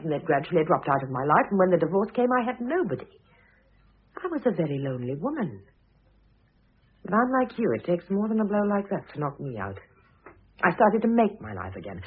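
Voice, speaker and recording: An actress playing a composed, wry, middle-aged divorced wife in a vintage radio drama, speaking with dry, pointed self-possession. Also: female voice, fairly low pitch, slightly rough, exaggerated voice. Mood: earnest, proud. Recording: muffled, narrow-band old radio recording.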